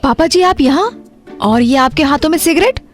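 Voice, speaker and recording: A dutiful young woman, played by an actress in an audio drama. Her voice is very high, with soft music underneath. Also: animated, normal speed. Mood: surprised, scolding.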